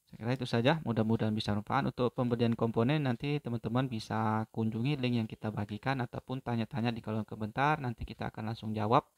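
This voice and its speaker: A man giving an unhurried, step-by-step phone repair tutorial, pausing often with fillers. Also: medium pitch, fairly even intonation.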